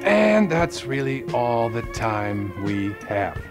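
An actor playing a glib, money-minded middle-aged therapist in a satirical video game cutscene, condescending and falsely soothing. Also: male voice, medium pitch, sing-song, takes it slowly, over loud music. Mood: smug.

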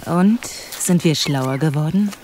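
A woman's voice: deep voice, a little slow, mellow. Sober female inspector, noisy background. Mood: sober, doubting. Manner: matter-of-fact. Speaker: actor in an audio drama. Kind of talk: German crime audio drama acting. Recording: clear background noise.

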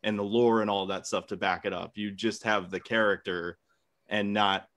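A man with a fairly low voice answers questions in a casual debate. He sounds tentative, framing his points as personal opinion. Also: fairly even intonation, normal speed, a bit bright. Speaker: debate contestant.

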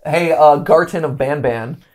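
A man in his thirties hosting a casual live reaction show, talking in a joking, animated way and often interrupting himself. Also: a little high, unhurried. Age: 30s. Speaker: show host. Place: indoor studio set, seated on a couch.

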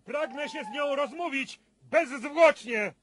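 An actor playing an anxious, formal gentleman demanding answers in a radio drama. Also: very high voice, slow pace, full character voice. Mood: urgent.

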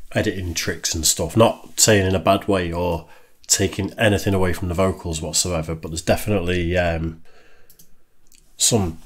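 A man in his thirties hosting a reaction video, chatty and self-deprecating, recorded in a small indoor room with headphones on. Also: sits low. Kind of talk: casual reaction commentary. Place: small indoor room lit with purple lights.

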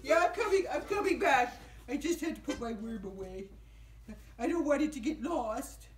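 High, lilting, gentle zombie puppet. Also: male voice, lively intonation, normal speed, echoey room, faint music behind. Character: gentle, friendly zombie puppet, falling apart, easily flustered. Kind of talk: puppet show for children.

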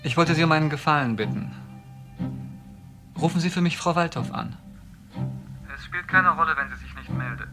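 A man's voice: fairly high pitch, moderate pace, vocal fry, long pauses, music in the background. A male actor playing an insistent, accusing man in a crime radio play. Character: insistent, accusing man in a wheelchair, brother of suspect. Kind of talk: radio crime drama acting.

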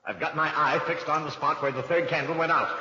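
Bossy radio-play villain: highish forceful voice, sharp and frantic, cavernous old recording. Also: male voice, steady tone, brisk. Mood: tense, commanding. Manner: sharp, commanding, dramatic. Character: domineering male murderer, impatient, increasingly frantic. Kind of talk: 1940s radio melodrama acting. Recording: dull 1940s radio, cavernous echo.

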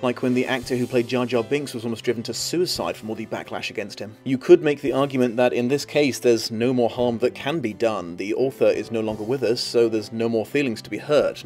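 A man's voice: mid-range voice, a little mellow. A man in his thirties, an online reviewer delivering a scripted video essay in an articulate, wry way. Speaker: online book reviewer and host.

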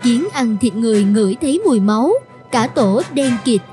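Female narrator, mellow, slightly high pitch, scripted children's storytelling. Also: moderate pace, faint music behind.

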